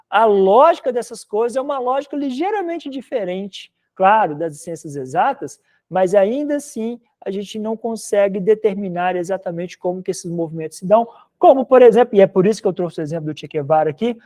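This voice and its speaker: Rambling mineiro philosophy teacher. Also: male voice, sits high, darkish tone. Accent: Minas Gerais Portuguese. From Minas Gerais, Brazil. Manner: rambling, conversational, frequent "né?" tags. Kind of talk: recorded online class lecture.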